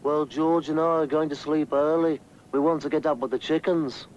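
A man's voice: a little high, fairly even intonation, moderate pace, a little mellow, lightly acted. A man voicing a cheeky, wry young pop musician in a cartoon. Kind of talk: 1960s animated cartoon acting. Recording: dull, noisy old cartoon soundtrack.

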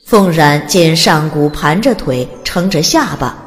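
A woman's voice: deep voice, animated, unhurried, in a large hall, faint music behind. A woman narrating an audiobook as dramatic scripted storytelling.

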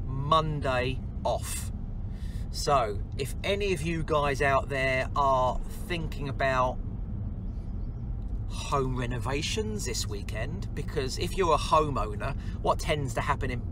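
A middle-aged man with an English accent chatting casually and in a rambling, informal way in a car vlog. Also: medium pitch. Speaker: vlogger, design and construction business owner. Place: inside a car. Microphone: camera at about arm's length. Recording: clear vehicle noise inside a car.

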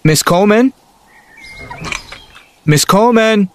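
A man's voice: sits high, lively intonation, takes it slowly, slight background noise. An actor playing a polite, earnest young small-town man in an audio drama. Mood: polite, concerned.

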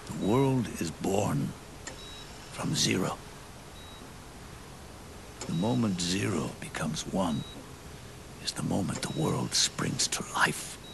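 An actor playing an aging, weary, scarred old soldier, grave and reflective, with a deep, gravelly, breathy growl and a slow, halting, solemn delivery in a video game cutscene. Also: male voice, big pitch swings, background noise, full character voice. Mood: solemn, philosophical. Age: older. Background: orchestral music.

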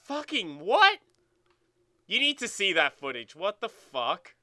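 A man's voice: high voice, huge pitch swings, slow, sharp tone, no room sound. A man co-hosting a let's play with joking, sweary casual banter, often repeating himself for emphasis. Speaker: let's play co-host and gamer. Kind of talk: casual banter over competitive gameplay.

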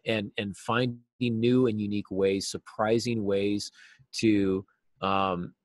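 A man in his forties giving earnest, explanatory answers as an interview guest, stressing key words deliberately. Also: lowish voice, fairly even intonation, no echo. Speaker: writing teacher and novelist, interview guest. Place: small cluttered office, video call.

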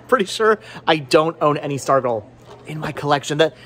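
Higher-voiced man, rambling shopping vlogger. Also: expressive, moderate pace, a bit bright, a little hiss or hum. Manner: rambling, self-interrupting, playful. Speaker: vlogger and movie collector. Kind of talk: casual chatty shopping vlog. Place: indoor video and game shop. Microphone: handheld camera at arm's length.

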